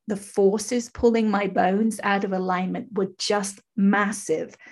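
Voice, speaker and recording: Husky, creaky woman in her fifties. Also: medium pitch. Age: 50s. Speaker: podcast guest, spiritual teacher. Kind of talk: personal storytelling in an interview.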